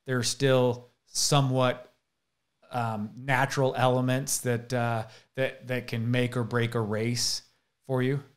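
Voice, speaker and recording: A man's voice: medium pitch, little pitch movement, slow pace. A man in his thirties hosting a casual interview from a decorated room, enthusiastic and self-deprecating, restarting his sentences often. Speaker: interview host. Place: indoor studio room with posters. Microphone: desk podcast mic, seen.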